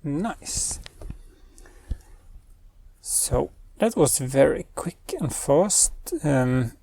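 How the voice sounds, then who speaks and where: Slow, gravelly man. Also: on the high side, lively intonation, mellow. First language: Norwegian. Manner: thinks aloud while giving spoken commands. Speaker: web developer and channel host. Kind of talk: screen-recorded coding tutorial.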